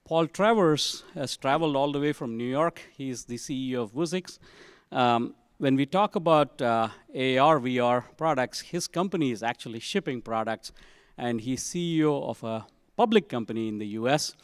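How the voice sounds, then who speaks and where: Halting man moderating a conference panel. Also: mid-range voice, clear, resonant tone, dry recording, studio quality. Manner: relaxed, halting delivery with many fillers. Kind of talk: live panel introductions to an audience. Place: conference session room.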